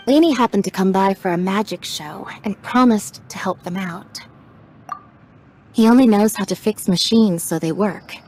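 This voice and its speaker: A woman playing a matter-of-fact young machine tinkerer in a video game, speaking in plain, terse, deadpan lines. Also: mid-range voice, slight background noise, lightly acted, rough recording. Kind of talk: scripted video game character dialogue. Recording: music behind the voice.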